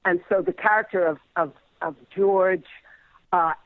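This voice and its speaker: A man with a fairly high voice talks reflectively in an interview. He is hesitant, doubling back on words, and the sound is dull and dry. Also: fairly even intonation, measured pace, no echo. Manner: hesitant, repeats words while searching. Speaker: playwright interviewee.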